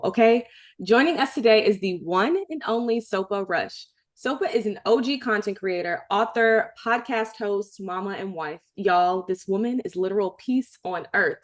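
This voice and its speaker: A woman's voice: medium pitch, normal speed. A young adult woman hosting a podcast, from Chicago, chatting with enthusiasm and saying y'all and okay a lot. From Chicago, USA. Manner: enthusiastic, warm-hearted, says y'all and okay often. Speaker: podcast host. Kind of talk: casual interview chat.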